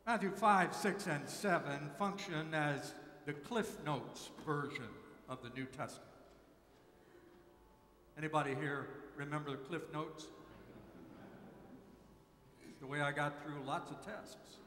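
An older man preaching a sermon from a pulpit, with measured, slowly repeated emphasis. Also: on the high side, sharp tone, a little hiss or hum. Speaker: pastor. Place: large church sanctuary at a pulpit. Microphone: pulpit mic, far camera. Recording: echoey church hall.

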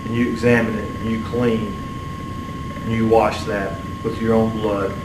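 A middle-aged man, a Baptist preacher speaking earnestly in halting phrases, as in a sermon or prayer. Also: fairly low pitch. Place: small church sanctuary, at the pulpit. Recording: roomy, distant mic, steady room hum.

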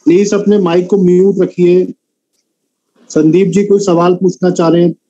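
A man's voice: sits high, level tone, moderate pace, mellow. A man moderating an online meeting and handing over to participants. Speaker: session moderator. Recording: dull, muffled online-call audio.

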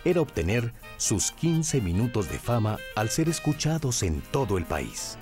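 A man's voice: mid-range voice, very animated, a little slow, music in the background. A man with a Mexican accent reading scripted narration for a documentary.

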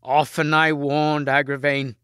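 A man's voice: fairly high pitch, little pitch movement, measured pace, bright, forceful tone, clear, resonant tone, no echo, clean studio sound, voice pushed up. A man voicing a loyal, principled knight in an earnest, impassioned manner for a story. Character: loyal, principled knight Gawain pleading with his king.

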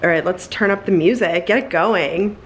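A woman's voice: on the low side, normal speed, echoey room, faint music behind, rough recording. A young woman in her twenties chatting upbeat on a handheld camera at home, casual vlog style. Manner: chatty, upbeat, filler-heavy. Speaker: vlogger. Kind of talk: casual family vlog chat. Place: home living room.

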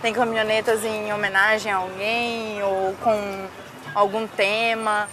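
A woman's voice: mid-range voice, little pitch movement, unhurried. A young Brazilian woman with a Brazilian Portuguese accent, hosting a casual city tour to camera in a chatty way, often saying "assim". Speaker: travel guide host. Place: busy food shop and noisy club. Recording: loud music and crowd behind.

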